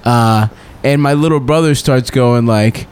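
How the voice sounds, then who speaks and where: A man's voice: mid-range voice, unhurried, darkish tone, clean tone. A man co-hosting a comedy podcast, telling crude stories in a rambling, joking, casual way.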